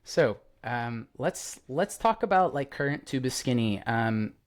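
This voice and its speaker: A young man hosts a podcast in an easy, rambling way, full of "um" and "like". He sounds openly enthusiastic. His voice sits at a middle pitch for a man. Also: expressive, measured pace. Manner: enthusiastic, rambling with many fillers. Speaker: podcast host. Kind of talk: casual interview chat.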